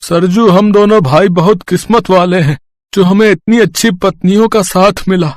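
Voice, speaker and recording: Guy doing a humble grateful village brother for a hindi story cartoon, high voice, dry sound. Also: fairly even intonation, normal speed, no room sound, lightly acted. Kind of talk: animated moral story dialogue.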